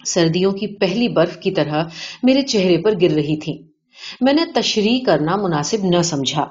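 A woman's voice: medium pitch, darkish tone. A woman reading a literary short story aloud as scripted narration. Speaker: audiobook reader. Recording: dull lo-fi audio.

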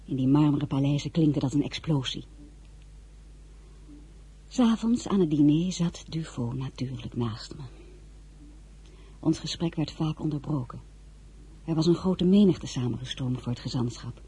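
A woman playing a spirited, proud and headstrong young merchant's daughter in a radio drama, with formal, expressive delivery. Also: sits low, moderate pace, pauses often, full character voice. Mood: narrating. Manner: crisp formal refusals, indignant and expressive. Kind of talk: radio drama acting with first-person narration.

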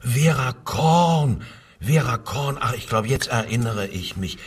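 An actor playing a submissive, evasive railway worker under interrogation, hesitant and deferential, in a crime radio drama. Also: male voice, medium pitch, lively intonation, slow pace, slightly rough, far from the mic. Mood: cornered, hesitant.

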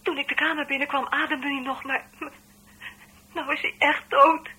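A woman's voice: sits high, little pitch movement, normal speed, pushed and bright. An actress playing a shocked, tearful woman on the telephone in a radio drama, stammering and repeating herself as she reports a death. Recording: distant voice on telephone line.

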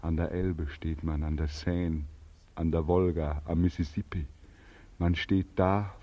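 An actor playing a bitter, exhausted, despairing young soldier home from war in a 1940s German radio drama, with a weary, brooding delivery. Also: male voice, low voice, flat delivery, a little slow. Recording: muffled 1940s radio recording, faint music.

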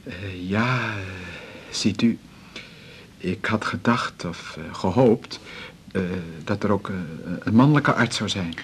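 An actor playing an embarrassed, insecure young student at the doctor's, hesitant and apologetic, in a naturalistic radio drama. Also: male voice, on the low side, slow, a little hiss or hum. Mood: ashamed, halting. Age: young adult.

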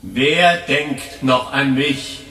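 A man's voice: mid-range voice, very slow, bright, forceful tone. An actor playing a solemn, anguished atheist who declaims lamenting rhetorical questions into an empty church, with reverberation around his voice. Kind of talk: declamatory radio drama. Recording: echoey, distant, noisy church acoustic.

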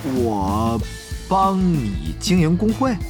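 An actor playing a young male gamer and undercover guild spy in an audio drama, animated and exclamatory, stammering when flustered. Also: a little high, slow pace, music in the background, full character voice. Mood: flustered, baffled. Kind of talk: Chinese audio drama acting with inner monologue.

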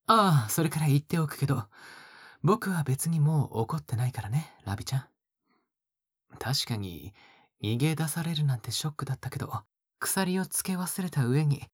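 A woman acting as an obsessive young man with a low, very breathy voice, recorded close and dry. He speaks as a possessive captor in an intimate Japanese audio drama, coaxing and gently menacing, with murmurs that swing widely in pitch and sink into whispers. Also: no room sound, exaggerated voice. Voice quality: breathy, husky.

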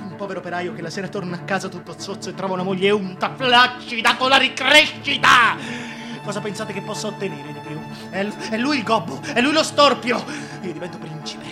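Man playing a high-pitched, volatile tyrant king. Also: brisk, over music, close to an everyday voice. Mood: contemptuous, ranting. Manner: declamatory, volatile, contemptuous, swinging from hushed to shrill. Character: vain, self-pitying tyrant king ranting and justifying himself. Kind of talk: theatrical radio drama monologue.

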